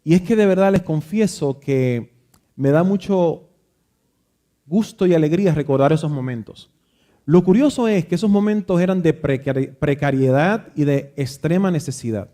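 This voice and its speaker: A man with a Dominican accent, reading scripture aloud and then telling a reflective story about growing up, pausing and restarting now and then. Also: fairly high pitch, warm tone. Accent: Dominican Spanish. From Dominican Republic. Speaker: preacher. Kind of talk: Bible reading and devotional talk.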